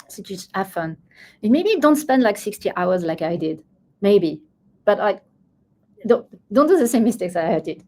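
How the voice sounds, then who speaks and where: A woman presenting at an online meetup, giving casual, emphatic advice in a halting, informal way. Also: mid-range voice, warm tone. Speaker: presenter at an online user group.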